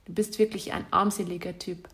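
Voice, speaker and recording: Gravelly woman reading a bitter letter. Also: on the low side, steady tone, a little fast, a bit bright. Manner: bitter and accusatory, insulting. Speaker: spurned ex-partner. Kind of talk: reading out a self-written letter to an ex-partner.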